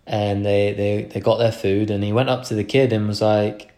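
A man's voice: fairly low pitch, little pitch movement, moderate pace, dark tone. A young man hosting a podcast, talking through a casual motivational monologue in an earnest, rambling way with frequent restarts. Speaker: podcast host.

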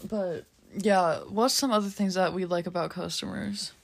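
A teenage girl with a deep voice, co-hosting a casual chat and talking frankly about her job in a relaxed, rambling way. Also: moderate pace, vocal fry. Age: teens. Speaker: podcast co-host, drive-thru worker.

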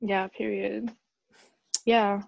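A young woman in her twenties co-hosts a podcast in a relaxed, laughing way. Her voice sits at a middle pitch and sounds slightly dull and lo-fi. It is dry, with no room echo. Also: drawn-out words, creaky. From Bahamas. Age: 20s. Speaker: podcast co-host. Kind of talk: casual chat.

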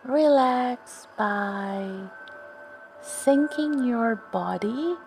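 A woman gently narrating a guided sleep meditation story for children, soothing and unhurried, with long pauses. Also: on the high side, low-quality recording. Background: background music.

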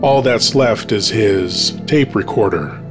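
A man presenting a spooky countdown as a horror host, reading in a measured, theatrical way with dramatic pauses. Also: fairly low pitch, over music. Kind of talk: scripted spooky countdown narration.

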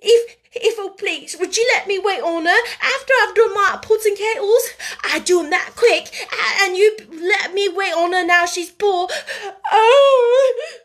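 A woman's voice: measured pace, fairly bright tone. Woman doing a sobbing scullery maid. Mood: tearful. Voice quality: high, quavering and sobbing. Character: young tearful cockney scullery maid.

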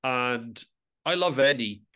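A man's voice: mid-range voice, lively intonation, slow, bright, forceful tone, clean tone. A man from England talking as an interview guest in a casual, reflective and discursive way.

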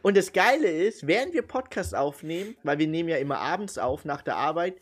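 Podcast co-host, male, lively and laughing, addresses the audience directly. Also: on the high side, fairly quick. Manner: animated, laughing, addresses listeners. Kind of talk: casual chat. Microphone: home podcast mic.

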